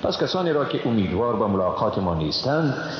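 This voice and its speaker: A man's voice: lowish voice, sing-song. Male reader, Afghan Dari accent, formal scripture translation, long reverberant tail, slightly muffled sound. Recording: very echoey, reverberant, muffled, faint noise.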